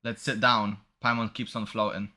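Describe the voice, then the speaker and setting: Bright-voiced man streaming a game, slangy. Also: fairly low pitch, flat delivery, normal speed. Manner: slangy, reactive, bro-heavy talk. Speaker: gaming streamer reacting to a playthrough. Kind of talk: casual gameplay commentary, reading dialogue aloud.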